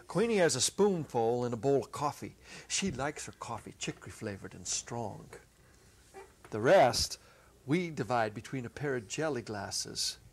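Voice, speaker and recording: Slow-paced man reading a story. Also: medium pitch, creaky. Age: middle-aged. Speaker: story reader, teacher. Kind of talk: dramatic reading of a literary short story. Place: small indoor set. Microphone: lapel microphone. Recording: noisy old videotape recording.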